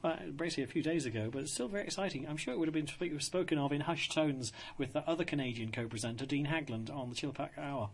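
A man's voice: on the high side, fast, hardly any pauses, breathy edge. A man hosting a radio show, chatting in a jovial, rambling way and leaning on "of course.". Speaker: radio host. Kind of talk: casual radio chat.

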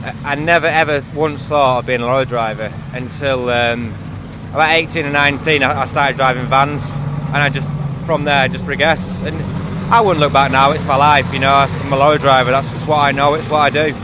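A man's voice: mid-range voice, steady tone, brisk. A man with a Yorkshire accent, a lorry driver chatting casually and matter-of-factly in an interview. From England. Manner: plain-spoken, matter-of-fact. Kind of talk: casual chat. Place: outdoor truck show. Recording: noisy outdoor showground, engine noise. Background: engine and vehicle noise.

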